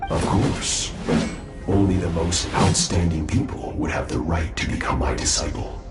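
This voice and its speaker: An actor playing a powerful, self-assured master warrior in a video game, aloof and formal, with a measured, lofty delivery. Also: male voice, sits low, reverberant, music in the background, lightly acted. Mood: calm, lofty. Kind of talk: dramatic video game voice acting.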